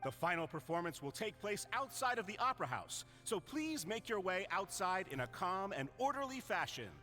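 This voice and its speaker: A man's voice: high voice, sing-song, moderate pace, pushed and bright, heavily acted. A man playing a polite theatrical show announcer in a video game, addressing an audience in a formal, showmanlike way. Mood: grand, courteous. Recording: faint music behind the voice.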